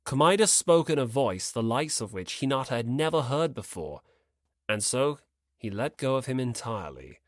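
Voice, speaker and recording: Audiobook narrator reading a story, really animated up n down, dry close sound. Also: mid-range voice, moderate pace, no echo.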